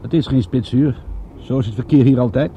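An actor playing a sharp, persistent private detective in a radio drama, brisk, questioning and commanding, prickly and secretive. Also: male voice, medium pitch, narrow, tinny audio, slight background noise, lightly acted. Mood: prickly, challenging.

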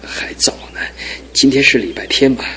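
A man's voice: mid-range voice, moderate pace, sharp tone, in a whisper, natural voice. An actor in his twenties playing a sleepy, playful young husband in a radio drama, with a lazy drawl. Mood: drowsy. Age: 20s.

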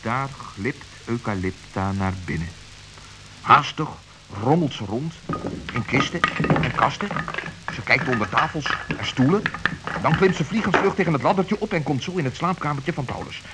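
A man narrating a children's radio play with lively, dramatic storytelling. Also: mid-range voice, moderate pace. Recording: hissy old radio recording.

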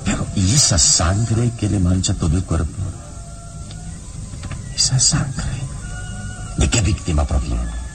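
An actor playing a calm, noble, wise adventurer hero in a radio serial, speaking solemnly with measured dramatic pauses. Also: male voice, low-pitched, exaggerated voice. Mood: troubled. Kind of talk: vintage radio serial acting. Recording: old radio serial with loud music bed.

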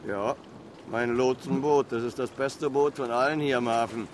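A man's voice: mid-range voice, fairly even intonation, normal speed, background noise, lightly acted. A man playing a blunt harbour pilot. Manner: blunt, down-to-earth, wary. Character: gruff, practical harbour pilot and boat owner. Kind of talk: radio play acting.

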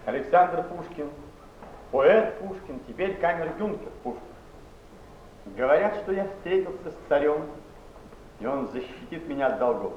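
An actor playing a proud, impulsive, ironic poet, declaiming theatrically in a muffled, noisy 1970s stage recording. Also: male voice, on the high side, lively intonation, normal speed, pauses often, roomy sound, natural voice. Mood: bitter irony. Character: Pushkin, proud, mocking, impulsive male poet.

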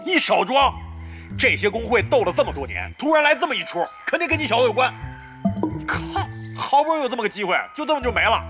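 A man's voice: very high voice, big pitch swings, quick pace. A man playing a crude, brash veteran gamer, a cocky roguish grumbler, with coarse exclamatory delivery in an audio drama. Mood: exasperated, angry. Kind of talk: Mandarin audio drama acting, comic banter. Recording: studio drama with music underneath.

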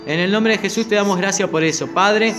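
A man's voice: sits high, fairly quick, music in the background. An older man with a Rioplatense Spanish accent, leading a Bible study group and its spoken prayer in an earnest, questioning way.

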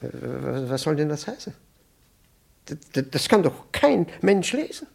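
Radio play role: pompous, flustered middle-aged diplomat, gravelly and raspy, evasive, stuttering, quick to bluster. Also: male voice, a little high, very animated, darkish tone, heavily acted. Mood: evasive, nervous. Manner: stammering, repetitive, blustering. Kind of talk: 1960s German radio play acting.

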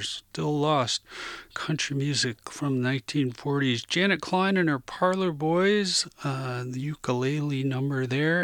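A male radio host talks informally through a music playlist in a medium-pitched voice. His delivery is loose and a little halting, like off-the-cuff chat. The sound is close and dry, as from a studio microphone. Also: creaky, a bit bright, no room sound. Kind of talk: casual radio music show chat, back-announcing tracks.

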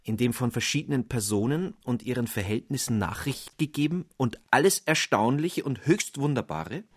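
A man's voice: mid-range voice, moderate pace, husky. A man narrating a classic fairy tale for radio, telling it expressively and addressing the listener directly. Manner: expressive storytelling, addressing the reader. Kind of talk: scripted literary narration.

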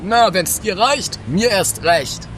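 A man's voice: sits high, animated, takes it slowly, background noise. A man playing a lazy, grumbling partner in an amateur comic radio play.

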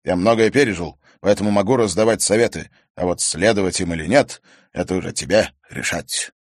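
A male audiobook reader voicing a calm, blunt young male student who gives straight advice. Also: deep voice, brisk, no room sound, studio quality. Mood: serious. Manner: calm, matter-of-fact.

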